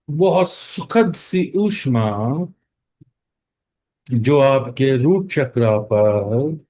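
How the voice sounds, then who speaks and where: An Indian man leading a guided meditation as instructor, speaking calmly in short phrases with long pauses between them. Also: fairly high pitch. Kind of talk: guided meditation, mixing Hindi and English.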